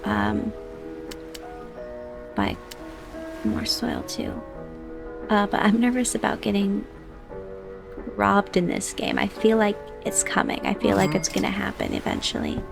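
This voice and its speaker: Soft, gravelly-edged woman whispering over music. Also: huge pitch swings, a little slow, long pauses. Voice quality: soft, high-pitched. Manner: quiet, drifting between whisper and soft voice. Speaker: gamer. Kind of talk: soft-spoken ASMR gameplay.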